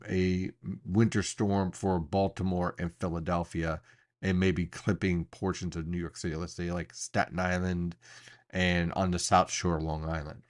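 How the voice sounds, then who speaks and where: A man with a fairly low voice gives an unscripted weather briefing. He talks like a forecaster walking viewers through maps, explaining each point with emphasis and deliberate pauses.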